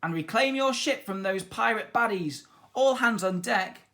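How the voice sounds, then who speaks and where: A man voicing a brave, eager schoolboy who becomes a pirate captain in a children's storybook, earnest and excited. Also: sits high, measured pace, voice pushed up, heavily acted. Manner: earnest, excited, shouting orders.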